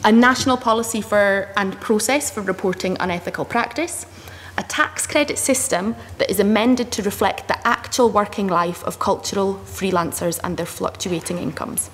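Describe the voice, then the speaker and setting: A woman in her thirties on a panel, reading a list of proposals aloud in a steady, measured way. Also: mid-range voice. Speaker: panel speaker. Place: committee room in a parliament building. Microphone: desk microphone. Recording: long reverb with faint room noise.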